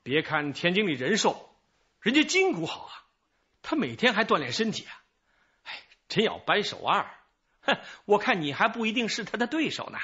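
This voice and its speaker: An actor playing a chatty, evasive middle-aged informant in a radio drama, rambling, sighing and excusing himself. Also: male voice, high-pitched, big pitch swings, normal speed, bright, forceful tone, slightly rough, lo-fi sound, heavily acted. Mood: animated, confiding. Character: garrulous, evasive male small-time informant, self-excusing.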